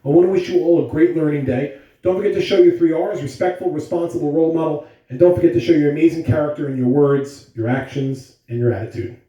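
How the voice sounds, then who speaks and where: A man's voice: on the high side, quick pace, dark tone, lo-fi sound. Echoey male school announcer and story reader. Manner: addresses children simply and directly. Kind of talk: school morning announcements and read-aloud to children. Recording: echoey room, distant mic, faint noise.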